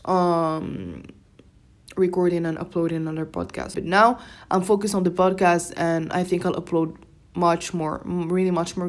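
A woman's voice: fairly low pitch, a little mellow. A young woman from Morocco, a native Darija speaker, hosting a casual solo podcast in an informal, rambling way and often interrupting herself. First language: Moroccan Arabic (Darija). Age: young adult. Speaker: podcast host.

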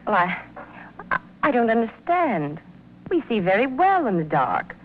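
An actress playing a composed, polite female jailer, speaking in a measured, formal way in a 1950s radio drama. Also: on the high side, sing-song, narrow, tinny audio. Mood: coolly courteous. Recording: thin, noisy old radio broadcast.

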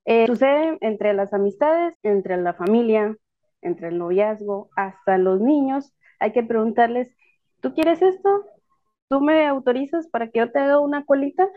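A woman's voice: on the high side, animated, a little slow, phone-quality sound. A woman in her twenties from Guatemala with a Guatemalan Spanish accent, an outgoing guest in a group discussion. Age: 20s. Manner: outgoing, upbeat.

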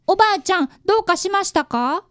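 A woman's voice: extremely high pitch, expressive, fast talker, no room sound, studio quality. A woman voicing an earnest fifth-grade boy who is an apprentice detective and narrates a radio drama. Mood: earnest narrating. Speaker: narrator and actor.

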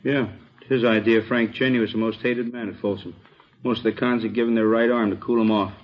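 An actor playing a plain-spoken police sergeant in a 1950s radio drama, slangy and matter-of-fact. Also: male voice, on the low side, little pitch movement, fairly quick, close to an everyday voice. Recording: muffled old radio recording.

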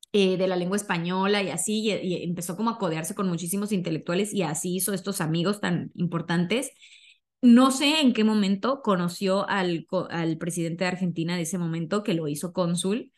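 A young Mexican woman hosts a podcast in a medium-pitched voice. She tells stories informally, as if sharing gossip with a friend, in casual conversational language. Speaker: podcast host.

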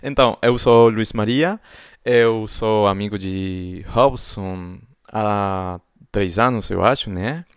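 An Argentine man whose first language is Spanish, co-hosting a podcast in Portuguese with a clear Argentine accent, halting as he searches for words. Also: on the low side, no echo, clean studio sound. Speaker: podcast co-host. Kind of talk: casual chat.

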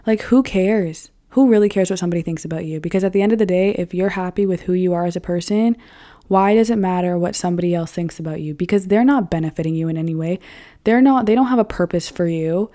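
A young woman in her early twenties rambles frankly to her viewers, dropping curse words and a steady stream of "like." Her voice sits low and sounds warm and mellow. Her delivery stays loose and conversational throughout. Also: quick pace. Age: 20s. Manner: chatty, frank and profane, lots of "like". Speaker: vlogger. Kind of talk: casual talk to camera.

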